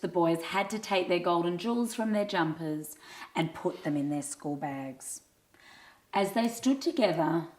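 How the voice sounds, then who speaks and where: A woman narrating a scripted children's story in an expressive, measured reading style. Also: low-pitched. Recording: roomy, echoing sound.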